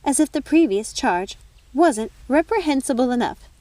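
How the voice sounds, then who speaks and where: Brief: storybook fairy godmother, old, prim and bossy, very high and swooping, full of officious indignation. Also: expressive, normal speed, dark tone, no room sound. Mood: officious outrage.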